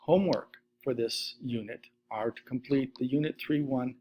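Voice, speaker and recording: Middle-aged male science teacher, steady methodical lecture read into a desk webcam. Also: mid-range voice, moderate pace, a little hiss or hum. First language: Latvian. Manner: steady list-reading delivery. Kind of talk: recorded slide lesson.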